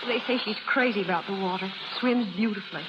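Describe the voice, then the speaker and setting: An actress in a 1930s radio advertisement playing an excited, chatty young movie fan sharing gossip. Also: female voice, mid-range voice, quick pace, natural voice. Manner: chatty, eager. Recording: muffled, reverberant, noisy 1930s radio broadcast.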